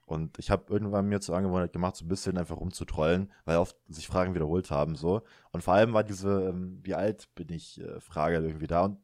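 Deep-voiced relaxed man, dry close sound. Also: fast talker, hardly any pauses, no echo. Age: 30s. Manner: laid-back, rambling, self-mocking, frequent filler tags. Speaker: podcast host. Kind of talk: casual chat.

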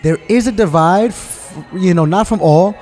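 A man's voice: high voice, normal speed, a little mellow, faint music behind. A man from New York in a casual conversation, talking emphatically and often asking "you understand?" to check he is followed. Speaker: guest.

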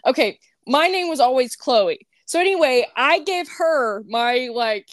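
Young female podcast co-host, tipsy, high voice, rambling and giggly, dry close sound. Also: animated, measured pace, no room sound. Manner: giggly, rambling, loud bursts of laughter. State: tipsy from wine. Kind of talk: casual chat.

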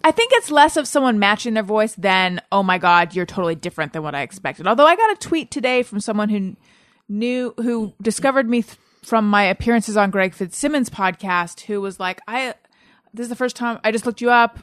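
A woman's voice: medium pitch, lively intonation, brisk, a bit bright, no echo, studio quality. A woman hosting a podcast, asking quiz questions and chatting in a playful way. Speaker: podcast host. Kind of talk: casual chat, quiz hosting.

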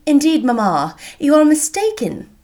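A woman's voice: high voice, sing-song, normal speed, darkish tone, pitched above the performer's own voice. A woman voicing a witty, self-assured young woman in a period novel, articulate and playful. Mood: embarrassed.

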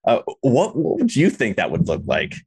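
A man's voice: medium pitch, wildly swooping pitch, moderate pace, darkish tone, breathy edge, dry recording. A man co-hosting a podcast, asking questions in a casual interview style. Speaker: podcast co-host interviewing a guest.

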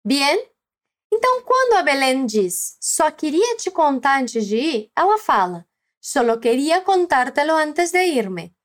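Brazilian lady teacher, high lively voice, slow clear repeat-after-me coaching. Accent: Brazilian Portuguese. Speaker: language teacher. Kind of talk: scripted lesson narration.

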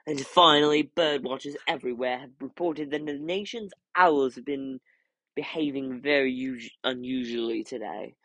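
A man voicing a formal male TV newscaster in a storybook reading, with a measured broadcast delivery. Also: mid-range voice, a bit bright, dry recording, lightly acted. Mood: newsy.

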